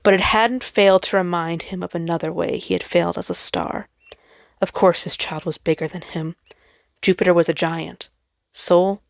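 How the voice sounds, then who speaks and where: Woman doing dramatic story narration, lowish voice, really dry close recording. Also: steady tone, normal speed, creaky, dry recording. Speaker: fan fiction reader.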